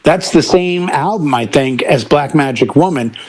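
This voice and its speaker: A man's voice: a little high, expressive, moderate pace, darkish tone. A middle-aged man co-hosting a talk show in casual conversation. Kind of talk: casual chat.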